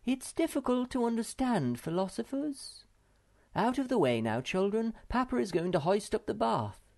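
Man doing a high, fretful troll mother. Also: very animated, moderate pace, creaky, darkish tone. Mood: sighing. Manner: anxious, sighing. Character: motherly female troll, fretful, sighing, gentle.

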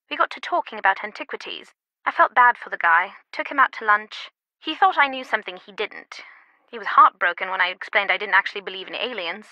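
A woman's voice: on the high side, no echo, lo-fi sound. A woman playing a worldly, wry antiquities expert in an adventure game, talking in a conversational, confiding way. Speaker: video game voice actor. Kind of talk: scripted adventure game dialogue.

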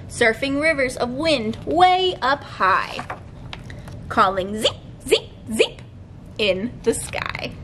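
A young woman with a fairly high voice reads to little children as a storytime librarian. She takes her words very slowly, phrasing them deliberately and articulating each one. A light low rumble sits behind her in the library room. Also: bright, forceful tone. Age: young adult. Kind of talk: read-aloud picture book storytime for young children. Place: library room with bookshelves, indoors.

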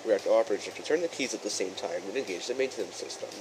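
A man playing a courteous chief engineer who presents a training film in formal, measured, instructional narration. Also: fairly low pitch, background noise, lightly acted. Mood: instructive, formal. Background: music behind the voice.

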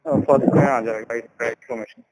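A man working as a store salesperson, answering a customer on a recorded phone call in a hesitant, halting way. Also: medium pitch, fairly even intonation, darkish tone.

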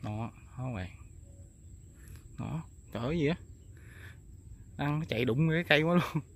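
A man with a southern Vietnamese accent gives an excited running commentary as he fishes on a river. His voice sits a little high for a man, and he keeps exclaiming and repeating words. Faint bird and animal sounds carry in the open air behind him. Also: normal speed, a little hiss or hum. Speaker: fishing vlogger. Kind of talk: casual running commentary while fishing. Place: outdoors on a river.

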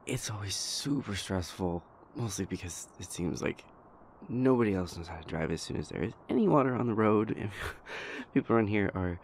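A man playing a shy, anxious, gentle young boyfriend in an audio roleplay, stammering, hesitant and apologetic in a soft-spoken way. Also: on the low side, expressive, moderate pace, slight background noise. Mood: nervous, chatty.

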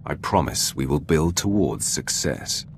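An actor playing a powerful, cool and aloof Sith Lord in a video game, speaking in a measured, commanding way. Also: male voice, extremely low pitch, lively intonation, gravelly, a little hiss or hum, full character voice. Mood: calm, firm. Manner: measured, authoritative, imperious.

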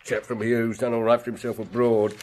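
A man's voice: medium pitch, level tone, fairly quick, a little mellow, a little hiss or hum, lightly acted. A man acting as a chatty, easily flustered police records clerk in a radio drama, speaking informally.

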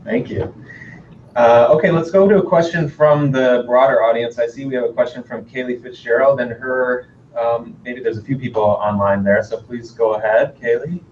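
A man moderates a career panel from a conference room, heard from well away from the microphone. The sound is dull and slightly lo-fi with faint noise, and he asks his questions in a casual, relaxed way. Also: mid-range voice, moderate pace, distant mic. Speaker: host and moderator.

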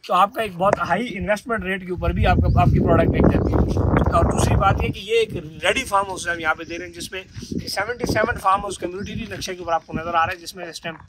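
A man's voice: high-pitched, steady tone, fast talker, over a noisy crowd, poor audio. A man in his thirties with a Pakistani Urdu accent, giving an upbeat sales walkthrough of a farm estate as a property consultant, pointing out the sights as he talks. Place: outdoors on a rooftop at a rural farm estate. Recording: loud outdoor wind and ambient noise.

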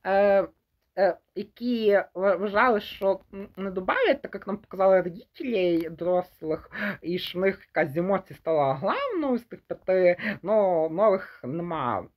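Young guy just chatting through a movie review, kinda halting, keeps restarting his thoughts. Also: sits high, lively intonation, highs cut off. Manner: hesitant, halting, trails off and rephrases mid-thought; mixes Ukrainian and Russian words. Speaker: film reviewer. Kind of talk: casual unscripted movie review.

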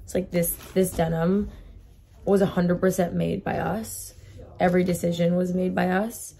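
A woman in her twenties with a hoarse voice that she has lost, chatting casually in her bedroom and filming herself on a phone held at arm's length, saying "like" constantly. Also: lowish voice, fairly even intonation, moderate pace, mellow. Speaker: vlogger. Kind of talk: casual vlog chat. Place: bedroom, indoors. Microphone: phone camera at arm's length.

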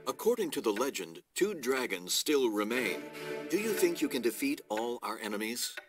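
A man's voice: medium pitch, big pitch swings, moderate pace, lightly acted. A man voicing a grave, authoritative lord who commands knights in a video game, speaking formally. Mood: pondering, grave. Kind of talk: scripted video game voice acting. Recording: music under the voice.

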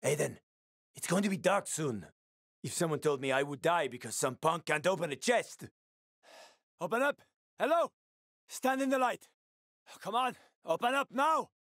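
A man's voice: on the high side, animated, normal speed, long pauses, a bit bright, no room sound, clean studio sound. A male actor voicing a wry, streetwise survivor guide and ex-soldier in a video game, with colloquial, foul-mouthed talk. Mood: wary, then urgent, desperate. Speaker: video game companion character.

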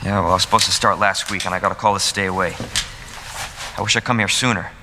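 Man acting an earnest rookie cop, lowish voice. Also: fairly even intonation, quick pace, natural voice. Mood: earnest, regretful. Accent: American. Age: young adult. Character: young rookie policeman, earnest, dutiful, concerned. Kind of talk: dramatic video game acting. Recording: clear game ambience noise behind.

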